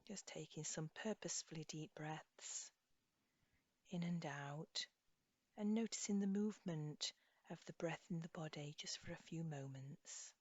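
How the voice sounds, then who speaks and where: A woman leading a guided body scan meditation as an instructor, speaking softly and soothingly in an unhurried way, with phrases trailing off breathily. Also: low voice, slightly rough. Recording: slightly dull, echoey room recording.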